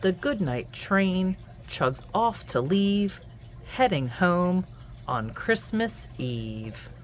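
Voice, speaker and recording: A woman reading a children's picture book aloud in an expressive, singsong storytelling manner. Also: lowish voice, a little hiss or hum. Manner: animated, singsong, deliberate storytelling. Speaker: children's book reader and host.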